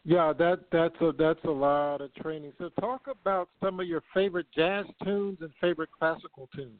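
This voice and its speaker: A man's voice: fairly high pitch, steady tone, moderate pace, dry recording. A man hosting an interview, effusive and admiring as he asks questions. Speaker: host. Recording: tinny phone-like line.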